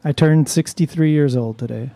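A man's voice: a little high, normal speed, dry recording. Rambling man in his seventies. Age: 70s. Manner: rambling, hesitant, laughs often. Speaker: radio show co-host. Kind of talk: casual banter. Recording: loud guitar music behind.

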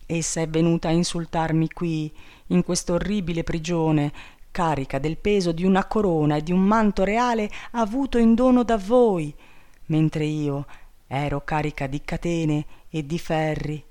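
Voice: medium pitch, steady tone, moderate pace, warm tone, voice pushed up, lightly acted. A reader voicing a grieving young princess. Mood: indignant. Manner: plaintive, theatrical lament. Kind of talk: storybook reading.

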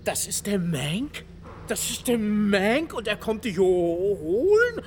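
A man's voice: sits high, very animated, takes it slowly, full character voice. An actor playing a bossy, short-tempered male film director in a radio drama, talking in a domineering, theatrical way. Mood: scornful, testing. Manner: domineering, theatrical, switches from muttering to shouting. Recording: long hall reverb, faint noise.

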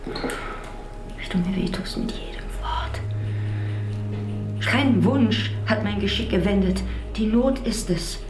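Anguished idealist wife, slow and expressive. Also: female voice, mid-range voice, reverberant, music in the background, full character voice. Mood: wounded. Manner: fervent, halting, dropping into breathy near-whispers. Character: idealistic, anguished revolutionary wife defying her husband. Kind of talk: theatrical German stage drama dialogue.

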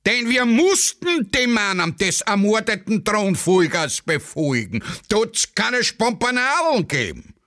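A bombastic, muddled Viennese patriot haranguing a street crowd. The voice is high and forceful, swooping theatrically through slow, declamatory phrases. It has the feel of a satirical radio play. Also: male voice, very animated, exaggerated voice. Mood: bombastic, fervent. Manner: declamatory, pompous. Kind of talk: rabble-rousing speech in satirical radio play.

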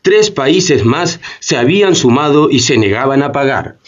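A man's voice: medium pitch, animated, measured pace, lo-fi sound, close to an everyday voice. A man playing the narrator of a radio drama, recounting events as scripted dramatic narration. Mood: narrative.

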